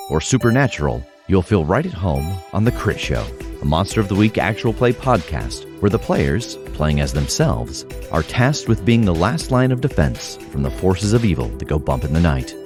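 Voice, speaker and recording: A man reading a scripted horror-comedy podcast trailer in a dramatic, suspenseful way over music. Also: low voice. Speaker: trailer narrator. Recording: music bed under voice.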